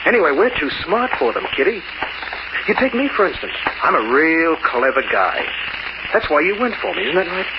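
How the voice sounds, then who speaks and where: An actor playing a clever, vengeful safecracker in an old-time radio drama, taunting and theatrical. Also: male voice, fairly high pitch, sing-song, a little fast. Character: clever, menacing, vengeful safecracker narrating his downfall. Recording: muffled, very noisy old radio recording.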